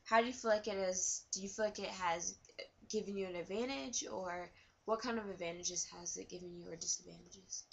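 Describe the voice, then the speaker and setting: A young woman student interviewing someone for a class, asking halting questions with many fillers. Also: mid-range voice, vocal fry, muffled recording. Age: young adult.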